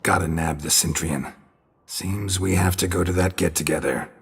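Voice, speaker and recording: An actor playing a gruff monster hunter in a video game, gravelly and laconic, with a deadpan delivery. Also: male voice, low-pitched, normal speed, slight background noise. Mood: matter-of-fact. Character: gruff, terse, dry northern monster hunter. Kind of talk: scripted video game dialogue.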